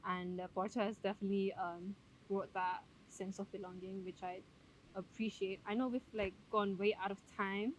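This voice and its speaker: A woman's voice: mid-range voice, little pitch movement, moderate pace, a little hiss or hum, low-quality recording. Chatty woman podcast host. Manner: chatty, warm thanks, frequent fillers. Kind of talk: casual interview.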